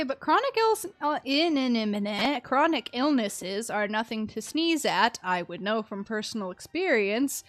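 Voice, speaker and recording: A woman's voice: a little high, a bit bright. A young adult woman chatting casually and expressively on a game livestream. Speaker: game streamer.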